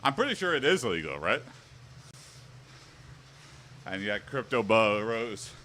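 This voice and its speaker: Streamer man, mid pitch, bright and forceful tone; rambling casual chat at home, mic set back, faint hiss. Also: very animated, a little slow. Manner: chatty, rambling, answers viewers. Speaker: livestream host. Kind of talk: casual livestream chat while exercising. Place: home room with an exercise bike. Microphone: standing mic a little way off.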